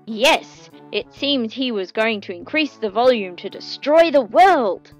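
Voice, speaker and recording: A woman voicing a loud, blustering male police captain. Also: a little high, animated, measured pace, soft background music. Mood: explaining.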